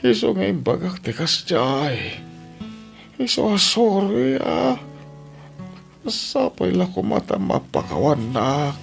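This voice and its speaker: Gravelly, remorseful old father. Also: high voice, big pitch swings, faint music behind, full character voice. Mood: remorseful, pleading. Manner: halting, pleading. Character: ailing, remorseful elderly father begging forgiveness. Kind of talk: Ilocano radio drama acting.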